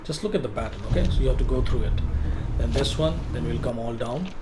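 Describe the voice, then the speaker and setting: A man's voice: medium pitch, fast, heavy background noise. Man talking through a game walkthrough. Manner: step-by-step guiding, repeats words. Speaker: gameplay walkthrough host. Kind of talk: casual walkthrough commentary.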